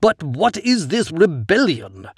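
A blustering, pompous count, put on by a man who rants in a pitched-up voice full of wild swings. He sounds indignant and self-important, and he is recorded close and dry. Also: sing-song, slow pace, slightly rough, no room sound, heavily acted. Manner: indignant, ranting, complaining.

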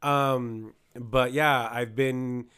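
Hoarse-voiced man chatting casually. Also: medium pitch, very slow. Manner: chatty, animated storytelling with quoted asides. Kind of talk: casual podcast chat.

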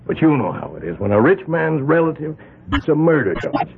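A fretful, wealthy island patriarch, hemming and hawing as he dodges blame. His voice is gravelly and deeply husky and swoops up and down. The sound is tinny and thin, like an old radio broadcast. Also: sing-song, a little fast, heavily acted. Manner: hesitant, rambling, stammers through excuses. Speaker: island community leader. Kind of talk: 1970s radio drama acting.

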